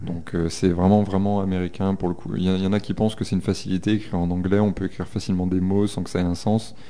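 A man's voice: deep voice, little pitch movement, quick pace, mellow. A male musician from a folk duo, explaining earnestly in an interview and repeating words as he goes. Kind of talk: casual radio interview.

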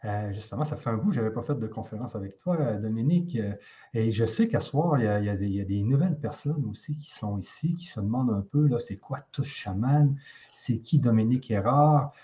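A man's voice: fairly low pitch, lively intonation, fairly quick, warm tone, highs cut off. A man with a Quebec French accent hosting an online conference, informal and friendly, with frequent fillers. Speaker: host.

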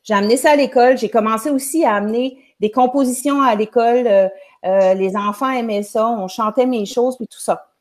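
Chatty Québécoise woman, bright higher voice. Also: fairly quick. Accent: Québécois French. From Quebec. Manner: chatty, rambling, many restarts. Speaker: guest, music teacher. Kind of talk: casual storytelling on a live show.

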